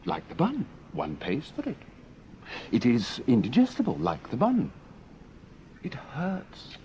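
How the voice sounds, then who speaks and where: A man playing a flippant, romantic young gentleman in a radio drama, with a playful, mock-grand manner. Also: a little high, huge pitch swings, slow, gravelly, full character voice. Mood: earnest, joking. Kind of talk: British radio drama acting. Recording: muffled radio recording, faint noise.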